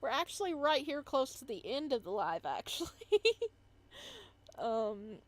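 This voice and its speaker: A woman's voice: high-pitched, big pitch swings, unhurried. A woman live streamer chatting casually as she reads a visual novel, with giggly, playful asides. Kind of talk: casual livestream chat while reading a visual novel aloud.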